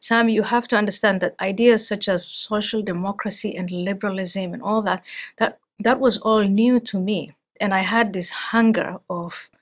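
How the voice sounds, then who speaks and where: A middle-aged woman from Somalia, speaking as an interview guest in a thoughtful, measured way with frequent restarts. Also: medium pitch, steady tone. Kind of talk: interview conversation.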